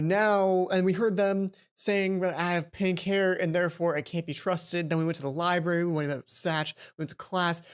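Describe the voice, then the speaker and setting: A let's play host commentating casually on a video game, rambling and breaking off into asides. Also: mid-range voice. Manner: rambling, self-interrupting asides.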